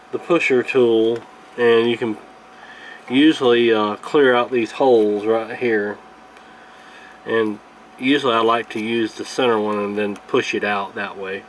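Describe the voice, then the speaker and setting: A man giving a casual hands-on repair tutorial in a halting, unscripted, explanatory way. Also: on the low side, rough recording. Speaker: radio repair video host. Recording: clear background noise.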